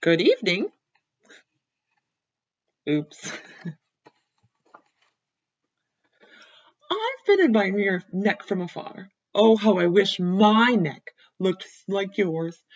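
A woman reading as a small, polite male tortoise who speaks formally and longs for a longer neck, with a slightly husky voice. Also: mid-range voice, sing-song, slow, dull audio, full character voice. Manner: formal, courteous, wistful.

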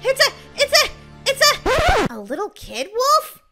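A woman's voice: extremely high pitch, wildly swooping pitch, normal speed, bright, forceful tone, soft background music, exaggerated voice. A woman voicing a nervous, dramatic little orphan girl in a children's game roleplay, exclaiming and whining. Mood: frightened. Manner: breathless exclaiming, whiny stammering. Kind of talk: children's Roblox roleplay story.

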